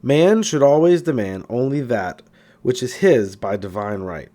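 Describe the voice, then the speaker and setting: A male narrator reads a spiritual self-help book with a soft, mellow tone. His pitch rises and falls a good deal as he stresses key words, and he keeps a measured, teacherly delivery. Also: expressive. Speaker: audiobook reader.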